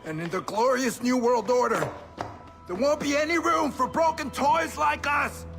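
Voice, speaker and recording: A man's voice: very high-pitched, moderate pace, vocal fry, music in the background. An actor playing a bitter, haunted man who taunts erratically and swears in a video game cutscene. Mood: frantic, unhinged. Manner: mocking, profane, erratic, emphatic.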